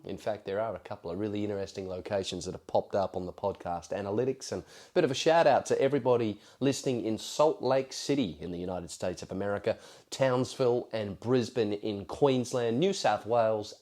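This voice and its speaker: An Australian man hosting a podcast in a measured, emphatic presenter style, his voice slightly croaky from a cold. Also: on the low side, warm tone. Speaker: podcast host. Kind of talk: solo podcast talk with prepared storytelling.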